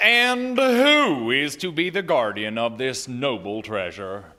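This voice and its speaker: An actor playing a solemn, pompous small-town reverend addressing a meeting in oratorical style in a live radio drama. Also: male voice, mid-range voice, lively intonation, sharp tone, a faint crowd. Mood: grand exhorting. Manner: oratorical, pompous, drawn-out delivery.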